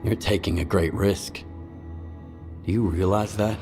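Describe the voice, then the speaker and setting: An actor playing a grave, composed and earnest man in a video game, speaking in a measured, serious way. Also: sits low, fairly even intonation, creaky, darkish tone, faint music behind. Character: grave, composed male informant, earnest and steady. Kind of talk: dramatic video game acting.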